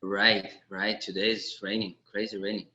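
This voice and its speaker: A man, telling his story as a podcast guest in an earnest, halting way with small grammar slips. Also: on the low side, flat delivery, bright, forceful tone, dull audio. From Latvia. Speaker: podcast guest, online seller. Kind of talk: casual interview chat.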